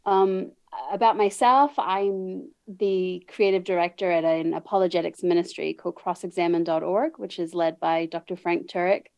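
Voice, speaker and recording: Kiwi woman, thirties, guest on a video call, low voice, chatty with frequent laughs, dry sound. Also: fairly even intonation, moderate pace, gravelly, few pauses, a little mellow, no room sound. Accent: New Zealand. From New Zealand. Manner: chatty, laughs often, restarts and repeats words. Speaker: podcast guest. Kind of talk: casual interview chat.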